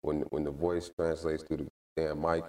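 Guest: American man, very deep low voice, relaxed slangy talk, a bit off-mic. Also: moderate pace, far from the mic. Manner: laid-back, slangy, lots of "you know what I mean". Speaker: guest, recording artist. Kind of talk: casual interview chat.

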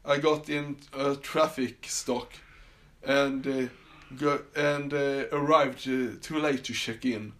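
A man's voice: mid-range voice, steady tone, slow, fairly bright tone. A man from Sweden with a Swedish accent, hosting a casual solo podcast from his summer house, talking with many fillers and restarts. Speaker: podcast host.

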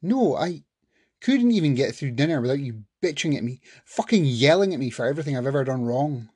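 A man voicing an angry, volatile man whose bitter words rise into yelling at his partner. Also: sits high, fast, creaky, slightly rough, no room sound, studio quality, pitched above the performer's own voice, heavily acted. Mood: furious.

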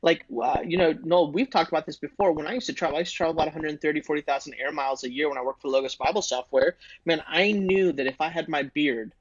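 A man's voice: fairly high pitch, fast talker, slightly rough, dry recording, muffled recording. A man talking earnestly in a casual faith discussion, with many restarts. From Indian heritage, not thick accent. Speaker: podcast co-host, ministry team member.

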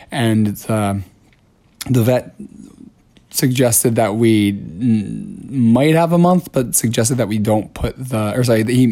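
Hoarse man hosting a podcast. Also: on the low side, mellow. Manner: candid, chatty, self-deprecating. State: hoarse, lost voice. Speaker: podcast host. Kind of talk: casual solo podcast talk.

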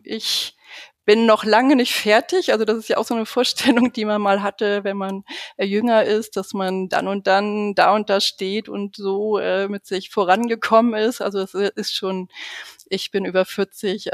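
Husky-voiced woman in her forties, hesitant. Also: mid-range voice, dry recording, clean studio sound. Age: 40s. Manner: thoughtful, hesitant with many fillers, laughs often. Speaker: podcast guest. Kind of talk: casual podcast interview.

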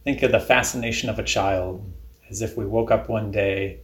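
A man leading a guided body scan meditation in a calm, deliberate, instructional way. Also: fairly low pitch, reverberant.

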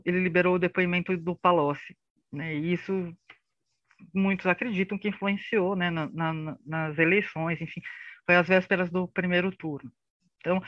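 A man's voice: high-pitched, no room sound, highs cut off. A man with a Brazilian accent giving an informal lecture in a didactic, conversational way, often adding tag questions. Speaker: panelist presenting on disinformation. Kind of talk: informal lecture with slides in an online debate. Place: online live session recorded for a podcast.

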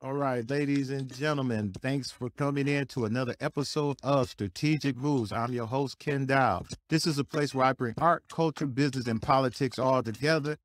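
A man's voice: medium pitch, normal speed, few pauses. A man hosting an interview show, asking his guest questions in a casual, conversational way. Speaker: podcast host.

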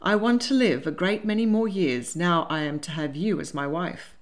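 A woman voicing a hearty, affectionate older gentleman lord in a storybook, jovial and teasing. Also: lowish voice, normal speed, lightly acted. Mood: tender.

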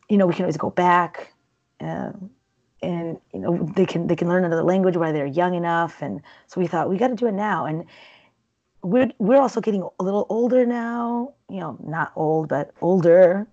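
A low-voiced woman from Peru chats freely as an interview guest, wandering from thought to thought with plenty of fillers and restarts. Her tone is dark and mellow. The recording sounds a bit dull and lo-fi. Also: moderate pace. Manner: chatty and rambling, many fillers and restarts. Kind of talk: casual interview conversation.

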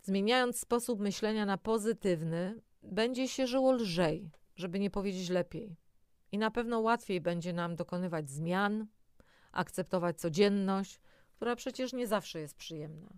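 Female self-help presenter, crisp smooth voice, encouraging, direct address, medium pitch. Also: measured pace, a little mellow, dry recording, studio quality. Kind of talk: motivational talk, partly scripted with spontaneous asides.

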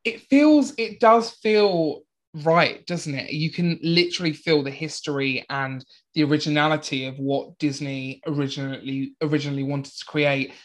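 Upbeat British podcast host, a woman with a gushing, bubbly delivery. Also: sits low. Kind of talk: enthusiastic podcast interview.

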